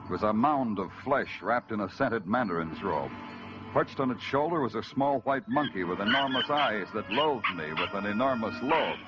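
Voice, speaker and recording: An actor playing a tough police detective who narrates in a terse, hard-boiled way with dry wit, 1940s radio acting on a lo-fi old recording with music. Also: male voice, mid-range voice, natural voice.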